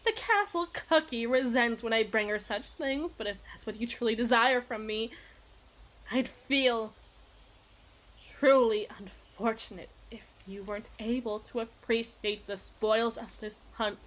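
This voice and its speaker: A woman's voice: medium pitch, a bit bright. A woman playing a possessive, imperious evil queen in an ASMR roleplay, speaking in formal, teasing, theatrical diction. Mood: predatory, coaxing.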